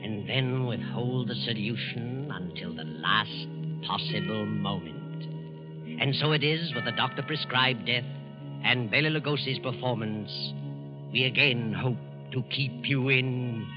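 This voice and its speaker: Ominous American radio host over loud music. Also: male voice, mid-range voice. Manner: ominous, theatrical, measured. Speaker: host and narrator. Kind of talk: 1940s radio scripted narration. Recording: muffled 1940s radio recording, loud music.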